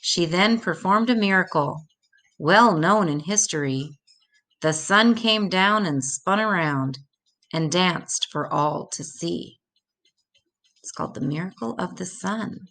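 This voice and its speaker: An older woman reading a rhymed children's religious story aloud in a measured, deliberate way. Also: lowish voice, a bit bright.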